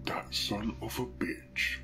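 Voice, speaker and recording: A man voicing a brooding, hot-tempered pony police detective in a dramatic story reading, with a grim, deliberate delivery. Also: pushed and bright, slight background noise, low-quality recording. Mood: seething. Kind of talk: dramatic fan fiction reading.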